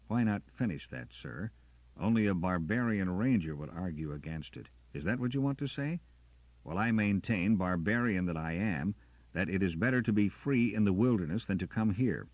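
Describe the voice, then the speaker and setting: A man's voice: low-pitched, moderate pace, dry recording, narrow, tinny audio, voice pushed up, lightly acted. A man voicing a stubborn, defiant young male ranger sergeant in an audiobook, with a cold, cutting manner.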